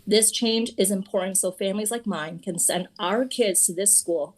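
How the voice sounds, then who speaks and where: A woman in her thirties, a teacher and parent, reading prepared public testimony in a steady, earnest, formal way at a school committee meeting. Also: mid-range voice, normal speed. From Boston area.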